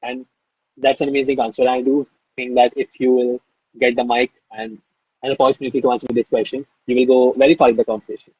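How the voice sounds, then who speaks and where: A man's voice: medium pitch, flat delivery, quick pace, mellow, breathy edge, lo-fi sound. A man hosting a live online interview, enthusiastic and full of fillers, who keeps calling things amazing. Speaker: interview host.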